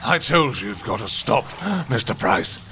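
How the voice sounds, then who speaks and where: An actor playing a menacing, obsessed old miner with a gravelly voice in a radio drama. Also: male voice, lowish voice, animated, normal speed, sharp tone, a little hiss or hum. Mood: cold menace. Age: older. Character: menacing, obsessed old male Cornish-mine miner, half mad.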